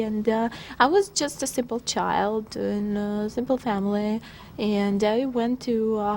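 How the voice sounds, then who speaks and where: A young woman from Russia, speaking English with a Russian accent, giving a plain, confident pre-show interview in halting grammar. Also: medium pitch, vocal fry, darkish tone, slight background noise. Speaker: model contestant.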